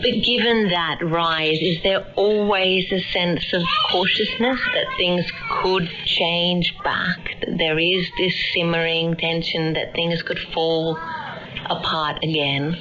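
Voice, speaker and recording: A woman's voice: fairly low pitch, steady tone, slow pace, noisy background. A woman reporter narrating a documentary and asking interview questions, heard on a shortwave radio capture. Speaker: reporter and presenter. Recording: muffled shortwave radio capture, crowd-like background.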